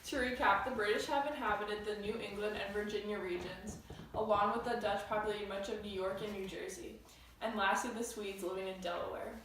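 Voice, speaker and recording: An earnest female co-anchor, played by a teenage girl reading scripted news. Her medium-pitched voice comes from off mic in a heavily echoing room. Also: fairly even intonation, brisk, fairly bright tone, natural voice. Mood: formal. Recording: very echoey room, distant mic, faint noise.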